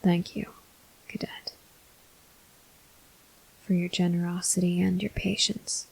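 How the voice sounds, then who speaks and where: A woman playing a brooding, guilt-ridden male vampire officer in an ASMR roleplay, whispering breathily in slow, halting, formal phrases. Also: on the low side, little pitch movement, vocal fry, husky, full character voice, poor audio. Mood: weary, grateful.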